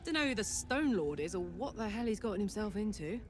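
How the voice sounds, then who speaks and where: A woman's voice: mid-range voice, big pitch swings, a little fast, natural voice. A woman playing a brash, streetwise companion in a video game, talking in a blunt, colloquial way. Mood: amazed. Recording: noisy ambient game background.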